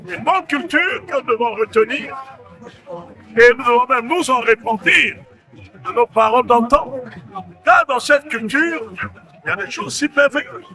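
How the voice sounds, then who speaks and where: Male prophet-preacher, Central African French accent, emphatic sermon delivery, sharp voice. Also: very high-pitched, measured pace, pauses often, rough recording. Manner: emphatic, proclaiming, repeats phrases.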